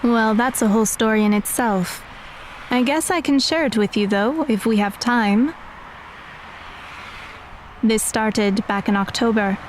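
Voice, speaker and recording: A woman's voice: a little high, a little slow, pauses often, a little hiss or hum, close to an everyday voice. An actress playing a guarded, serious, bitter teenage girl in an audio drama. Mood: brooding. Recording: music behind the voice.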